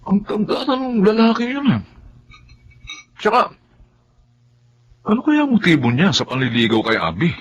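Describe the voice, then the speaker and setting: An actor playing a humble, put-upon working-class son-in-law, plain-spoken and deferential in a melodrama. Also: male voice, high voice, big pitch swings, normal speed, long pauses. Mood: aggrieved. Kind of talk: Filipino melodrama acting. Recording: dull lo-fi audio, background noise.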